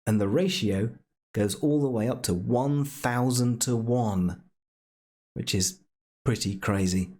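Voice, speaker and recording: A man presenting a casual software tutorial in a playful, chatty way with jokey asides. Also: mid-range voice, takes it slowly.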